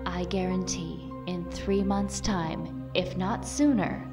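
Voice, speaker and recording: A woman's voice: lowish voice, fairly even intonation, recorded from a distance. A woman playing a blunt, composed lieutenant in an audio drama, speaking formally and matter-of-factly. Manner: formal, measured, matter-of-fact. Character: blunt, composed female lieutenant recruiting for a program. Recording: off-mic voice under music.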